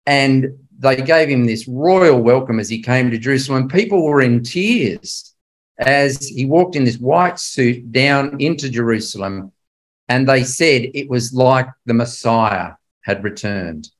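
Male Bible class teacher, older, average pitch; explains history conversationally, leaning on key words and quizzing listeners. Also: lively intonation, unhurried. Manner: conversational, emphatic, puts rhetorical questions to listeners. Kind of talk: illustrated history lecture to a religious class.

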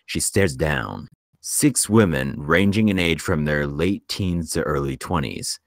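A man's voice: extremely low pitch, lively intonation, a little slow, dry recording, studio quality. A man reading screenplay stage directions aloud as scripted narration. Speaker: screenplay narrator at a table read.

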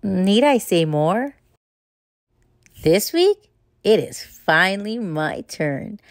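Female storybook reader, expressive and unhurried, very varied intonation, for children's read-aloud narration. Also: medium pitch, no room sound, clean studio sound. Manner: expressive, deliberate phrasing.

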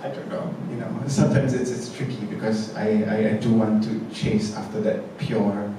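A man talks slowly and thoughtfully on a theatre stage, full of hesitations and restarts. His voice sits low and reaches the mic from a distance. The big hall rings around him, with a steady noise underneath. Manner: hesitant and reflective, many fillers and restarts. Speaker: theatre director. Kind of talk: post-show panel discussion.

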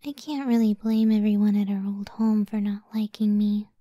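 A woman voicing a gentle, self-doubting giant cyclops wife in a soft, breathy ASMR roleplay, speaking tenderly and often near a whisper. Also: mid-range voice, fairly even intonation, dry recording, studio quality, close to an everyday voice. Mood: wistful, insecure. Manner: slow, tender, unhurried.

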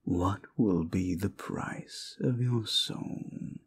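A man playing a condescending, sardonic ancient demon in an audio roleplay, gravelly, with theatrical, mocking delivery. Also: low-pitched, very slow, slight background noise, full character voice. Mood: menacing, teasing. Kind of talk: audio roleplay monologue addressed to the listener.

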